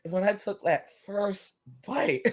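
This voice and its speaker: A man's voice: sits high, slow pace. A man from Barbados, a wrestler guest on a podcast, talking casually and telling stories with lots of laughter and repeated words. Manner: animated storytelling, laughing often, repeats words.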